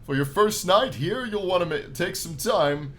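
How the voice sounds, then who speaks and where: Animated higher-voiced man commentating a game. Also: normal speed, a little hiss or hum. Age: 20s. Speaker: gaming channel host. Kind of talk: game playthrough commentary, reading story text aloud.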